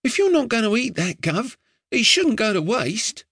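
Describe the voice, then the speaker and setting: A man's voice: high-pitched, sing-song, normal speed, a bit bright, slightly rough, dry recording, clean studio sound, voice pushed up, heavily acted. A man voicing a chatty, slangy, streetwise young boy. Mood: eager.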